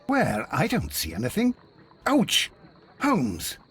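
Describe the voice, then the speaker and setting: An actor playing a fussy, anxious Victorian gentleman companion in a game, with an English accent and a proper, excitable manner. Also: a little high, slow pace, slightly rough, full character voice. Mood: puzzled, startled. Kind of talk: scripted game dialogue.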